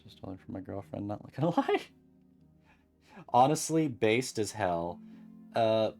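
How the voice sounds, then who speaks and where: A streamer chatting casually and calmly while beading at a home desk, rambling gently with many fillers. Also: mid-range voice, a little slow, faint music behind. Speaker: crafting streamer.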